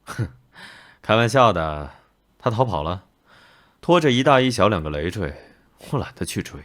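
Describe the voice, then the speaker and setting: A man playing an aloof, commanding nobleman in an animated drama, measured and detached with a dry, teasing edge. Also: fairly low pitch. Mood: dryly teasing. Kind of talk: Chinese animated drama voice acting.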